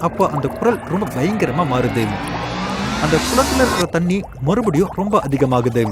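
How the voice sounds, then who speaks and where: Lively male cartoon narrator. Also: a little high, moderate pace, very noisy. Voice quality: lively storytelling. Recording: narration over loud music.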